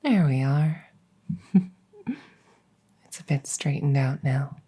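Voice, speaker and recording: A devoted vampire maid, acted by a woman, speaks in a low, mellow murmur with a breathy softness. Her phrasing is formal and deferential, soothing and gently teasing, in the intimate style of an ASMR roleplay. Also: slow, full character voice. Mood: soothing, caring. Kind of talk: ASMR roleplay, intimate personal-attention audio.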